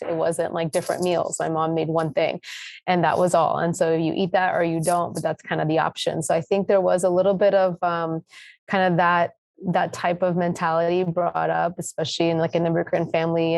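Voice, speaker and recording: A woman in her thirties from Tanzania, a company founder talking casually in an interview, rambling with many pauses and restarts. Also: fairly low pitch, a little mellow, dry recording.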